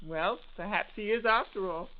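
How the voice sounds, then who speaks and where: A woman's voice: medium pitch, moderate pace, narrow, tinny audio, natural voice. Dreamy young niece, played by a woman. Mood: dreamy, earnest. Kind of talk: 1940s radio drama acting. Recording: thin, band-limited old radio recording.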